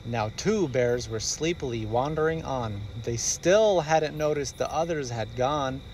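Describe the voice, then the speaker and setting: A man reading a rhyming children's story aloud in an expressive storyteller's style. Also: mid-range voice, measured pace, a little hiss or hum.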